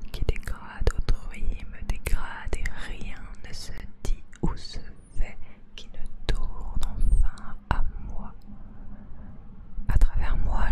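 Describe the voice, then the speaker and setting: Very slow, whispering woman. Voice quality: whispered, breathy. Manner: slow, deliberate, expressive phrasing with long pauses. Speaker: ASMR creator reading poetry. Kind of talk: ASMR interpretive poetry reading.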